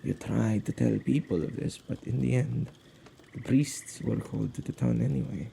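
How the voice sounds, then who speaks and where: Guy acting as a worried protective male witch, asmr roleplay, super mellow dark voice, bit of fry, halting and expressive. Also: medium pitch. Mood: calm recounting. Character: anxious, protective young male witch, guardian of spirits. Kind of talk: intimate ASMR audio roleplay drama. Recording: faint background noise.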